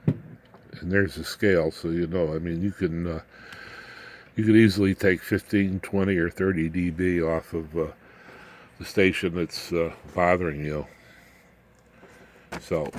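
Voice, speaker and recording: Male, low-pitched hobbyist explainer; folksy, slangy, informal technical talk that rambles. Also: slight background noise. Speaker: electronics hobbyist presenter.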